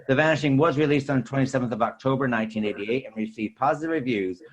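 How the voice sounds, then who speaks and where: A man's voice: medium pitch, fast, dull audio. A man hosting a podcast, reading a scripted, informational film introduction like an announcer. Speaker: podcast host.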